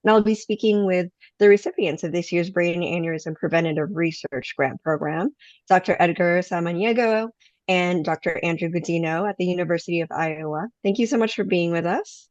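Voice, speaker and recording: A woman interviewer with a lowish voice asks polite, measured questions. Her voice comes through dry and dull, as over a video call. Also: darkish tone, dry recording. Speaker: interviewer, awareness ambassador. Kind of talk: interview hosting.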